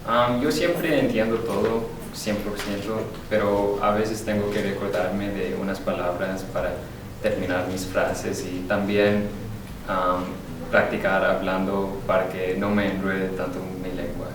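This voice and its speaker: A man from California speaking slowly and hesitantly in a student interview. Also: low-pitched, flat delivery. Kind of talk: testimonial interview. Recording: echoey room from far, noisy.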